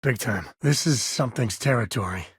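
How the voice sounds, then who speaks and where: Game role: wry ex-ranger and single father among the stars; heavily husky, folksy, laid-back, dry studio sound. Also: mid-range voice, animated, moderate pace, no room sound. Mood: amiable. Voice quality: husky, raspy. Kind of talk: video game voice acting.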